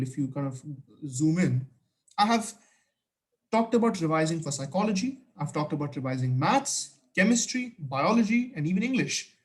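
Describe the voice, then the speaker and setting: A young man whose first language is Hindi gives study tips in a casual vlog. His voice sits a little high, and he speaks earnestly and with emphasis. He often stops and restarts his sentences as he goes. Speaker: student vlogger giving study advice.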